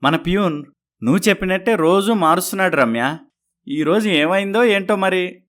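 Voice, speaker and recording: A man's voice: sits high, normal speed, a bit bright. An actor voicing an ordinary man in a dramatised moral story. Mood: meek, placating. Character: men around the heroine: doctor, boutique owner, meek husband.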